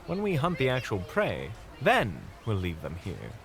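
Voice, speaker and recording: A man's voice: fairly low pitch, huge pitch swings, measured pace, creaky, a bit bright, heavily acted. A man playing a sociable, chatty monster hunter with polite, eloquent speech in an audio roleplay drama. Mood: courteous, reasonable.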